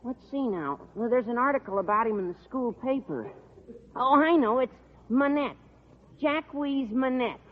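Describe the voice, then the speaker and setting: An actor playing an earnest, long-winded, lovesick high school boy with a whiny delivery in a vintage radio sitcom. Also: normal speed, slight background noise. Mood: worried, earnest. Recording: tinny vintage radio broadcast.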